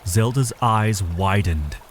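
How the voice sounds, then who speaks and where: A man's voice: on the low side, slow, a little hiss or hum. A man narrating a fantasy audio drama from a script in a solemn, literary storytelling manner.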